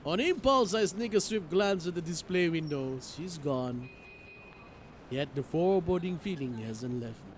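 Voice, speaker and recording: A man streaming a game, reading its story aloud in an expressive, theatrical way. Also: fairly high pitch, a little slow, slight background noise. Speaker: streamer playing a visual novel. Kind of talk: dramatic read-aloud narration with live reactions.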